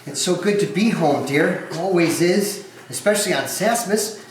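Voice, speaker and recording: Man as echoey, high-pitched affectionate poor father. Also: lively intonation, moderate pace. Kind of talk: amateur staged dramatic reading. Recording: very echoey room, faint noise.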